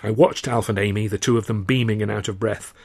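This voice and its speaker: A man with an English accent reads his own novel aloud in measured, first-person storytelling. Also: lowish voice, steady tone, a little mellow, dry recording. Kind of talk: dramatised audiobook narration.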